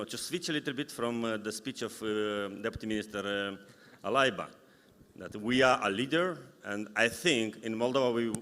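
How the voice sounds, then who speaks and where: A man who is a non-native English speaker moderates a live conference panel, rephrasing haltingly as he goes. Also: lowish voice, a bit bright. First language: not English. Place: large indoor conference hall. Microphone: event microphone. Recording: echoey hall sound system.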